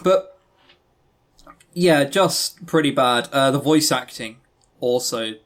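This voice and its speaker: A man's voice: mid-range voice, slow pace, fairly bright tone. A man co-hosting a podcast, chatting casually and giving opinionated reviews with sarcastic, joking exasperation. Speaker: podcast co-host reviewing visual novels.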